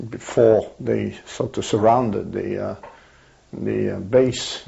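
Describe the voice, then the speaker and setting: An older man with a French accent, a native French speaker, recalling his life in an oral history interview, pausing often with fillers and restarts. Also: on the low side, vocal fry, a little mellow. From France. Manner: hesitant, many fillers and restarts. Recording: dull lo-fi archival tape.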